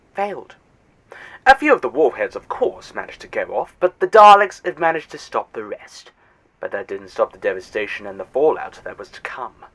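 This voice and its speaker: Male actor as an arrogant alien Eternal, smug and scornful, very animated pitch, mid voice. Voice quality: sarcastic, condescending. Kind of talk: fan audio drama.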